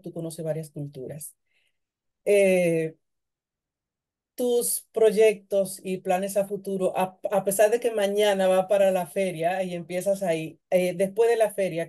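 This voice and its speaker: A woman's voice: medium pitch, warm tone. A middle-aged woman hosting a conversational interview over a video call. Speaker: interview host.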